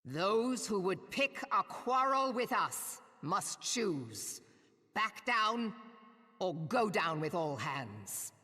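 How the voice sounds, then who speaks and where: A woman's voice: mid-range voice, sing-song, drawn-out words, pushed and bright, full character voice. A woman playing a bold, brash pirate admiral in a video game cutscene, commanding and defiant, with salty seafaring speech. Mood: defiant, rallying. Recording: long echoing hall reverb.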